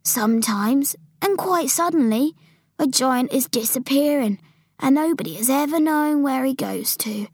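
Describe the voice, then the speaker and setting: A woman's voice: sits high, expressive, unhurried, a little mellow, breathy edge, no room sound, studio quality, pitched above the performer's own voice, full character voice. A woman voicing a very old, forlorn but friendly male giant in a storybook, drawing out mangled words with great emphasis. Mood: musing.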